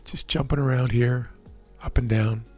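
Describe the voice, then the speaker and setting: A man with a hoarse, worn voice calmly explaining the markets as a trading educator, often checking in with the listener. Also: mid-range voice, normal speed, soft background music. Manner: relaxed, explanatory, checks in with "right?". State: hoarse, losing his voice. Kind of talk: live market commentary over a chart screen.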